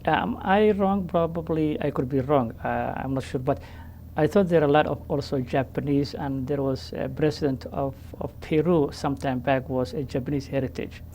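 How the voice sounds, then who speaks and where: A woman hosting a community talk show, speaking haltingly with many fillers and restarts. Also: low voice, warm tone, slight background noise. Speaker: host. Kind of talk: casual interview.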